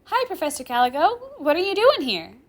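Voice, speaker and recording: A woman's voice: extremely high pitch, very animated, normal speed, pushed and bright, long reverb tail, voice pushed up, heavily acted. A woman voicing a smug, teasing, defiant demon schoolgirl in a cheerful, taunting way for a story.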